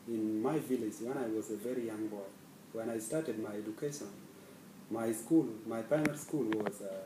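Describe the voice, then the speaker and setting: A man's voice: mid-range voice, mellow, a little hiss or hum. Earnest young man from South Sudan. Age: young adult. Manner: earnest, hesitant with many pauses and fillers. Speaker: scholarship student. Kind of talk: self-introduction to camera. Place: small office, indoors. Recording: echoey room, slightly far from mic.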